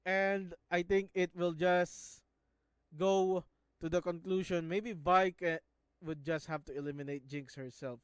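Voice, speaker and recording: A man's voice: a little high, clean tone, dry recording, clean studio sound. A man from the Philippines with a Filipino accent, talking casually in a video as he analyzes scenes, often stopping to restart words. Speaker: YouTuber analyzing a show.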